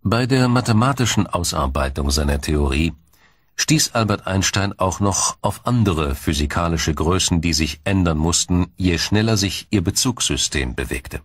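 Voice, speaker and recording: A man narrating a scripted documentary in a measured, explanatory storytelling manner. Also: deep voice, lively intonation, breathy edge, dry recording, clean studio sound. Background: music.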